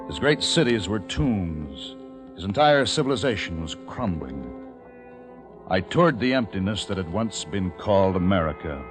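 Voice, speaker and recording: A very low-voiced, resolute male survivor of a dead world, speaks with solemn, deliberate weight. It is vintage radio drama, with music clearly running under the voice. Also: big pitch swings. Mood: solemn, foreboding. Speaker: radio drama lead actor and narrator. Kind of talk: old time radio dramatic acting and narration.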